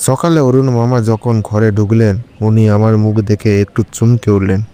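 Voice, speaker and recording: Low, even-toned man narrating horror. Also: moderate pace, a little hiss or hum. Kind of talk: scripted horror story narration in first person.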